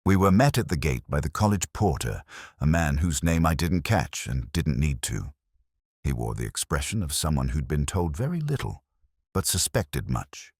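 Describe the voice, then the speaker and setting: A man reading a scripted first-person detective story in a measured, literary, brooding manner. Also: rumbling low, big pitch swings, a little mellow, breathy edge, no echo, clean studio sound. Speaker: narrator.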